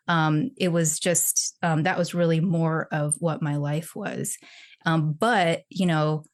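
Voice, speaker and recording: A woman from Texas, a podcast guest telling stories from her life in a chatty way, with many fillers and restarts. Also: sits low. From Texas, United States. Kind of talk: casual conversational interview.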